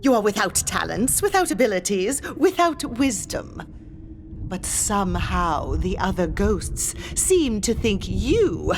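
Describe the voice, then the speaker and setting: An actress playing a haughty, scornful ghost medium who guards a haunted mansion, speaking in a theatrical, condescending monologue. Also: female voice, medium pitch. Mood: indignant, scornful. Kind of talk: theatrical scripted monologue addressed to the listener.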